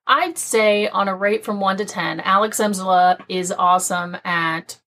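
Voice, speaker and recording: A man's voice: sits high, unhurried, sharp tone, full character voice. A man voicing a cheerful young girl who rambles on in gushing praise.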